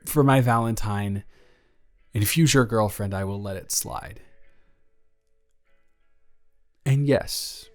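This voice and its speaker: A man's voice: lowish voice, measured pace, faint music behind, lightly acted. A young man playing a nervous, lovestruck best friend in an improvised romantic roleplay audio, rambling and stammering as he speaks to the listener. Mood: tender.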